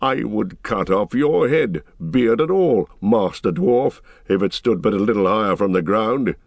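A man's voice: on the low side, expressive, measured pace, dry recording. A man voicing a proud, suspicious warrior horse-lord in a fantasy audiobook, with formal, archaic, challenging speech. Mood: stern, threatening. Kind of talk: dramatic audiobook fantasy reading.

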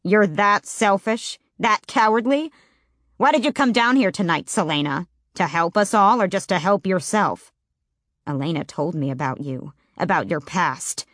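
A woman voicing a solemn ancient male talking door knocker in an audiobook, speaking low and measured. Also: a bit bright, no echo, studio quality. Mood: reproachful. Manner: grave, lowered, measured.

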